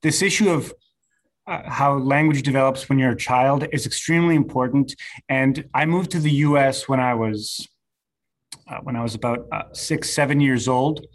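A man's voice: mid-range voice, moderate pace, poor audio. A man whose first language is Serbo-Croatian, interviewing a guest in a chatty way with lots of fillers. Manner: friendly, chatty, many fillers. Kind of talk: casual interview.

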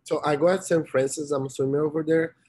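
A young man from Brazil with a Brazilian Portuguese accent, speaking halting English as an interview guest. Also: fairly high pitch, steady tone, a little mellow, slight background noise. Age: young adult. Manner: halting, searching for words. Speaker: interviewee, college swimmer. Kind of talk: casual interview talk.

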